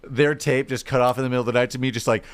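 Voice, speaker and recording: Male podcast co-host, mid-pitched, casual and rambling, conversational. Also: fairly even intonation, very fast, clean tone. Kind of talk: casual chat.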